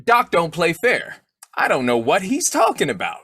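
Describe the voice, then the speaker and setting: A man playing a blustery, boyish, quick-tempered bumbling husband in old-time radio comedy, with a folksy, slangy, argumentative way of talking. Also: on the high side, wildly swooping pitch, pushed and bright, breathy edge, dry recording, studio quality, heavily acted. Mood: indignant.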